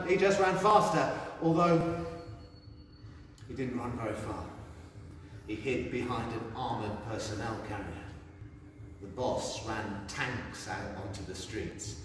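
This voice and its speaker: An actor playing a rowdy young football fan who swears freely and brags about street fights. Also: male voice, medium pitch, slight background noise, natural voice. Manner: profane, boastful storytelling. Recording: echoey hall from far off.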